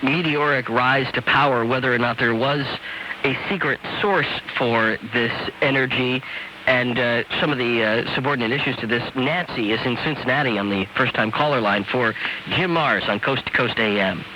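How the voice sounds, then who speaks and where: A man's voice: mid-range voice, normal speed, bright, forceful tone, lo-fi sound. A man hosting a live radio call-in talk show. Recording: hissy shortwave radio cassette.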